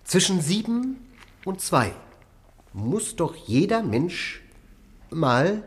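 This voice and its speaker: High-pitched, eccentric artist. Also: male voice, very animated, extremely slow, husky, heavily acted. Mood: curious. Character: eccentric, curious male artist living in the house. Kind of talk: German radio play acting.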